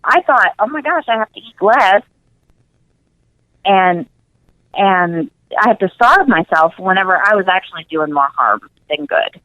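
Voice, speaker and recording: A woman's voice: mid-range voice, animated, a little slow, sharp tone, no echo, highs cut off. A woman speaking as a guest in a casual radio interview, earnest and emphatic, repeating words for emphasis. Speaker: interview guest, nutrition coach.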